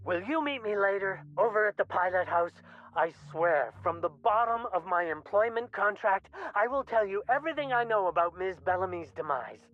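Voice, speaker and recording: A woman plays a jittery company mascot in a comic video game. The delivery is folksy, flustered and theatrical, swinging widely in pitch. The sound is dull and slightly muffled. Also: expressive, moderate pace, close to an everyday voice. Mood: flustered relief.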